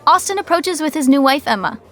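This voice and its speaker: A woman's voice: high voice, lively intonation, a little fast, a bit bright, faint music behind. A woman reading scripted comedic narration for a podcast sitcom.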